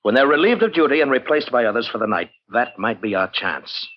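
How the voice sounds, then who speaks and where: An actor playing a decisive, earnest outlaw leader in an old-time radio drama, speaking deliberately and with command. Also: male voice, mid-range voice, animated, close to an everyday voice. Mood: resolute. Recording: muffled, noisy old radio recording.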